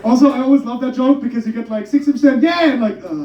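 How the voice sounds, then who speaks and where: A man's voice: very high-pitched, fast talker, echoey room, recorded from a distance, highs cut off, a crowd in the background. A man from Germany performing a stand-up comedy set, talking with energy and swearing as he mocks himself. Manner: energetic, swearing, self-mocking. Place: comedy club stage.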